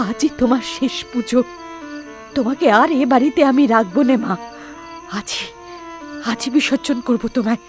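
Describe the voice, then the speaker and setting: A woman's voice: a little high, moderate pace, long pauses, music in the background, close to an everyday voice. A woman voicing a stern, secretive elderly grandmother in a cartoon, with a West Bengali accent and an emphatic, insistent delivery. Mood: pleading, anguished. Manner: emphatic, insistent, repeats phrases. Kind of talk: dramatic cartoon voice acting.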